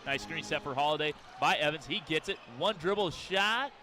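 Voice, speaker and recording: A man calling live basketball play-by-play for radio in clipped descriptive phrases, quick to get excited. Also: on the high side. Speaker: play-by-play announcer. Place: courtside in an arena. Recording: noisy gym with bouncing balls. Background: basketball game arena noise.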